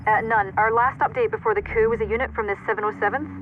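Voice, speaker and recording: Female radio intel operator for a video game: brisk, matter-of-fact, thin static-laden transmission. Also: a little high, fairly even intonation. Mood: focused, businesslike. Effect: radio filter. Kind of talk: video game cutscene acting. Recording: tinny, noisy military radio link.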